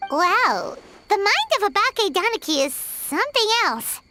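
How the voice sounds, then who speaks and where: Tiny excitable companion: piping, childlike, hugely animated, game fantasy, loud music. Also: female voice, very high voice, measured pace, exaggerated voice. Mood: amazed. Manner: exclamatory, childish. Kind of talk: scripted fantasy game dialogue.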